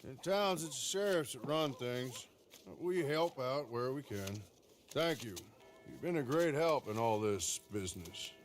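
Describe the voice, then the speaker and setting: An actor playing a resolute Old West lawman with an American accent, speaking in a dry, measured, plain way for a game cutscene. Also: mid-range voice, animated, faint music behind, natural voice. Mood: sincere, grateful. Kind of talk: video game cutscene acting.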